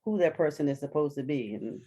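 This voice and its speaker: Playful woman with a lowish voice. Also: expressive, a little fast, dark tone, a little hiss or hum. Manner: playful, jokey. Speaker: stage actress, interview guest. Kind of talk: casual chat.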